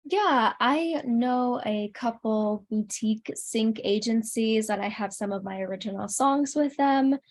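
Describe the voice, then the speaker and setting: A woman's voice: on the high side, measured pace, hardly any pauses, darkish tone. A woman chatting casually as an interview guest, earnest and modest in the way she talks. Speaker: interview guest, singer and content creator.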